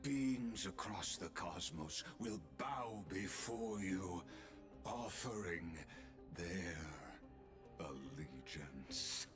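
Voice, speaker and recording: An extremely deep-voiced, scheming royal counselor from a video game. He speaks slowly and theatrically, with silky formality, trailing into breathy half-whispers over clear background music. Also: lively intonation, full character voice. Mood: grandiose, sinister. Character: sinister, courtly male counselor, grandiose and scheming. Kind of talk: dramatic video game voice acting.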